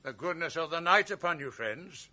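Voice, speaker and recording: An actor playing a proud noble general in a radio drama, speaking verse in a measured, commanding way. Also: male voice, on the high side, expressive, sharp tone. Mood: composed. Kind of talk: 1980s radio drama acting.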